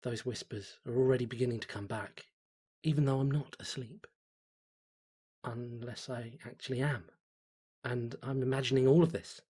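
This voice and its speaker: A man's voice: mid-range voice, steady tone, moderate pace, darkish tone, breathy edge, lightly acted. A man playing a fearful, exhausted tourist, brooding uneasily through a diary in a horror story reading. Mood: weary fear.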